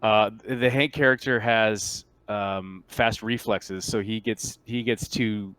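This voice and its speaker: A man's voice: on the low side, steady tone, takes it slowly, fairly bright tone, clean tone, no echo. A man talking casually as game master during a tabletop roleplaying session. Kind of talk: casual gaming talk.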